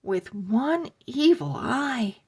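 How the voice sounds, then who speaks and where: A woman voicing a young boy, the scared friend, speaking in a hushed, nervous way. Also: fairly high pitch, animated, extremely slow, slightly rough, voice pushed up, exaggerated voice.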